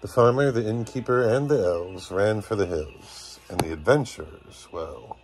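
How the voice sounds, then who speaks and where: A man's voice: lowish voice, normal speed, darkish tone, faint music behind, rough recording. A man in his thirties, a father, reading a storybook aloud expressively to children. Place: small dim bedroom, lying down beside children. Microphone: phone at arm's length.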